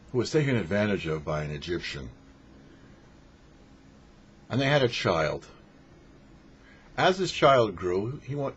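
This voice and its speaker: A man speaking as a rabbi giving a short religious lesson, teaching in an emphatic, didactic way with rhetorical questions. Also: mid-range voice. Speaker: rabbi hosting a weekly Torah portion show. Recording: dull lo-fi audio with faint noise.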